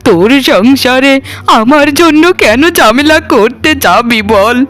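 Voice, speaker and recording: A woman's voice: on the high side, lively intonation, measured pace, fairly bright tone, soft background music. A woman voicing a bossy, scheming elderly mother-in-law in a melodramatic cartoon. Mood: plaintive. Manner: scolding, theatrical.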